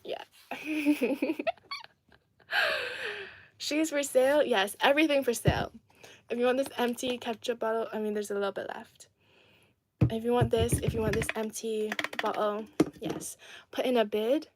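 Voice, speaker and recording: A woman's voice: a little high, moderate pace, pauses often, background noise. A young woman from Ireland hosting a casual livestream, reading viewer comments aloud and answering them in a chatty, giggly way. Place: indoor home setting in Adelaide.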